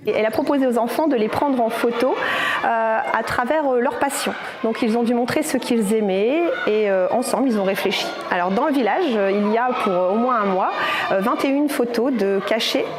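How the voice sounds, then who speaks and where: Woman, school teacher, higher voice, hesitant, frequent fillers, noisy recording. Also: a little fast. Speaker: village school teacher and head. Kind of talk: local news interview. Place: her school.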